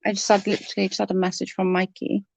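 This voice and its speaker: A woman's voice: mid-range voice, monotone, quick pace, gravelly, darkish tone, no room sound. Congested woman chatting on a livestream. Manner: chatty, greets viewers by name. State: congested, coughing, voice going. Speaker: livestream host. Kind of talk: casual chat with live viewers.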